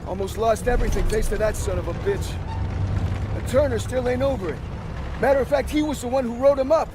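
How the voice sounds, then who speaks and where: An actor playing a brisk, slangy soldier in a World War II game cutscene, speaking with emphasis. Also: male voice, very high voice, background noise. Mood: brisk, bitter.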